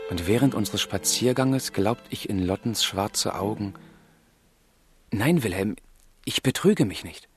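An actor playing a passionate, lovesick young gentleman in a German radio drama, with a fervent, confiding delivery and a husky voice. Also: fairly low pitch, exaggerated voice.

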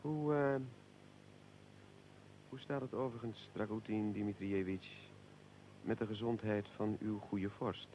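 An actor playing a suave, evasive Russian military attaché in a radio drama, unctuously polite and deliberate. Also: male voice, on the low side, steady tone, darkish tone, slight background noise, natural voice, poor audio. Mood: guarded.

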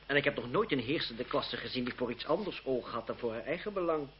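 An actor playing a cynical, witty young French lawyer in a radio drama, with an ironic, condescending, urbane manner. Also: male voice, medium pitch, animated, fast talker, close to an everyday voice. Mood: dry irony. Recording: muffled, hissy old radio drama recording.

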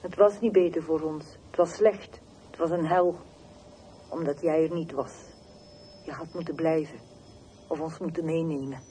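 An actress playing a bitter, wounded middle-aged woman on the telephone in a radio play, terse and reproachful with deliberate pauses. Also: deep voice, steady tone, warm tone, close to an everyday voice. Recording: noisy old radio drama recording.

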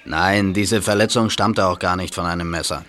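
A man playing a scheming male smuggler in a radio play, gruff and bitter. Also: sits low, fairly even intonation, moderate pace, a bit bright. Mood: defensive. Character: scheming adult male smuggler vet, cornered. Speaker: villain. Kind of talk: amateur radio play acting.

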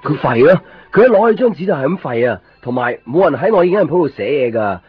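A man's voice: on the high side, big pitch swings, fast talker, husky. An actor playing an earnest, anxious young man in a Cantonese radio drama. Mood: urgent, pleading. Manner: earnest, pleading. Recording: muffled 1990s radio recording with music behind.

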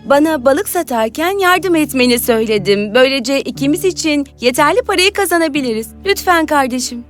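A woman performs a kindhearted, hardworking young sister from a fairy tale. Her voice sits high and sounds gentle and earnest, with faint music underneath. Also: expressive, a little fast. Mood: worried, pleading. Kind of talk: children's fairy tale audio acting.

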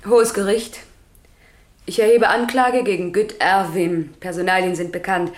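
An actress playing a state prosecutor in a radio drama, formal, official and declamatory. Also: female voice, medium pitch, steady tone, a little slow, close to an everyday voice. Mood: official, accusing. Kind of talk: radio courtroom drama acting. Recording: roomy, echoing courtroom sound.

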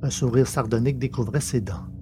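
A man's voice: mid-range voice, a little fast, music in the background. A man reading scripted literary science fiction narration as the storyteller. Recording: clearly noisy background with hum.

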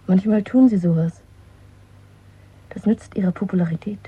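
An actress playing a young, pragmatic, questioning secret lover in a German radio drama, with a husky, breathy voice. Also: female voice, mid-range voice, moderate pace, dry recording. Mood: guarded, matter-of-fact. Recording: dry, narrow phone-like sound.

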